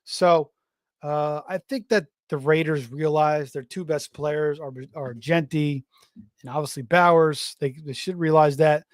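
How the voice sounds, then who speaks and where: A middle-aged man hosting a fantasy football show in casual live-stream talk, slightly hoarse, rambling off on tangents with plenty of fillers and restarts. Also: a little high, fairly even intonation, unhurried, dry recording, clean studio sound. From Florida. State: slightly hoarse, voice going raspy.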